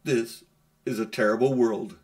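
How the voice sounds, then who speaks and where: A man's voice: medium pitch, fairly even intonation, measured pace, a little mellow, natural voice. A man reading a storybook, voicing a haughty witch queen with curt, commanding speech. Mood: imperious. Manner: imperious, curt.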